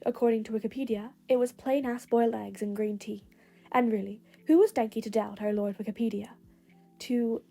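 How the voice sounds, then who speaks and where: A woman's voice: a little high, mellow. A woman reading fan fiction aloud as a scripted storytelling narration. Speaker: podfic narrator.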